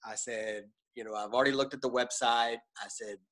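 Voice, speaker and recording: A man's voice: mid-range voice, steady tone, fairly quick, fairly bright tone. A man with a Texan accent telling his story on a video call from home, folksy and rambling with lots of hesitations. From Texas. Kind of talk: casual self-introduction. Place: home room with a lamp.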